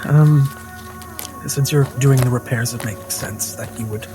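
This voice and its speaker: A man in casual in-character tabletop role-play, with hesitant, halting phrasing. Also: mid-range voice, music in the background. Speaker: role-play game player.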